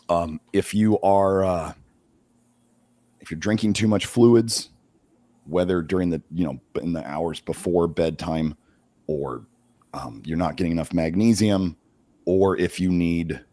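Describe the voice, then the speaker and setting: A middle-aged man with a hoarse voice hosting a casual livestream, talking in halting bursts with many restarts. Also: sits low, dark tone, dry recording. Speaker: host.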